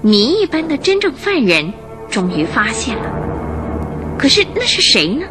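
Female radio drama narrator, suspenseful and highly animated, reading over music. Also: normal speed. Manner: suspenseful, storytelling delivery.

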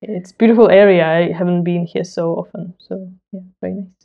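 Gentle woman podcast host, dull sound. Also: fairly low pitch, fairly even intonation, fairly quick, creaky. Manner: gentle, with frequent soft murmurs of agreement. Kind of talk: interview hosting.

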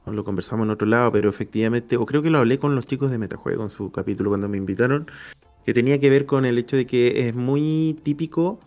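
A man's voice: mid-range voice, fast, darkish tone, soft background music. A Chilean man chatting casually as a podcast host and interviewer. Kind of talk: casual chat.

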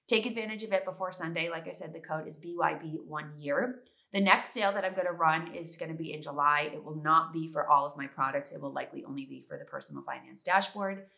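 A woman's voice: lowish voice, a bit bright. A young woman hosting a solo podcast in a chatty, upbeat way, congested from allergies. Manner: chatty, upbeat, many fillers. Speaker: podcast host.

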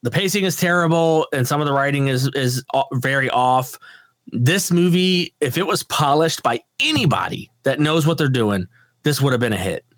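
A man co-hosting a loose movie podcast, rambling through opinions with plenty of swearing and laughter. His voice sits at an ordinary pitch. The sound is dry and close to the mic. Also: animated, normal speed, a bit bright, no echo. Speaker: podcast co-host. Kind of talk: casual movie podcast chat.

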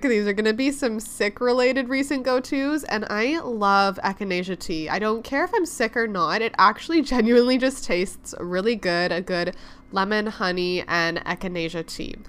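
A woman's voice: medium pitch, normal speed, a bit bright, clear, resonant tone. Congested woman hosting a chatty podcast. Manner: chatty and upbeat. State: congested, recovering from lost voice. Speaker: podcast host. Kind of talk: casual podcast chat.

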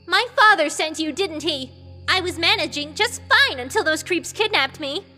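A haughty young princess of a water people, extremely high and sharp-edged. She is demanding, scornful and quick to screech. The storybook performance has reverb and music behind it. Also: lively intonation, full character voice. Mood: scowling scorn. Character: shrill haughty young water-creature princess, angry and defiant.

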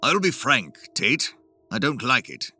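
A man reading the part of a cautious Victorian gentleman who objects with a grave, measured delivery. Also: medium pitch, sing-song, faint music behind, heavily acted. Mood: wary. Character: cautious, wary Victorian gentleman, club member.

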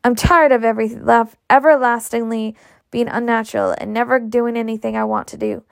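A woman reading a sharp-tongued young belle. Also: fairly high pitch, lively intonation, brisk, gravelly, no echo. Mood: resentful. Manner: irritable, cool.

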